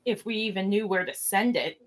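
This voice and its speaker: A woman's voice: medium pitch, a little fast, natural voice. A woman playing a level-headed starship officer in an amateur radio play. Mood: thoughtful.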